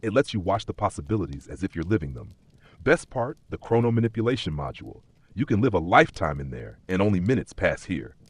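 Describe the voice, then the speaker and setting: A man voicing a mischievous, cryptic magical pub keeper in a dark fantasy audio story, teasing and speaking in playful riddles. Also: sits low, big pitch swings, fast, breathy edge, heavily acted. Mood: smooth, cryptic.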